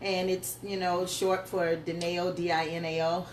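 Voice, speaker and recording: A woman's voice: on the low side, little pitch movement, unhurried. A woman co-hosting a casual chat show with her husband, playful and laughing often. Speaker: co-host. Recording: echoey room with faint noise.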